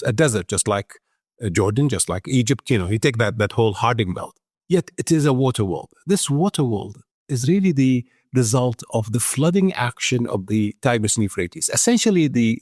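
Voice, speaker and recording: A man's voice: mid-range voice, no room sound, studio quality. A man from Iraq speaking as an expert guest in an interview, explaining things with emphatic repetition. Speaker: guest expert, conservation organization founder.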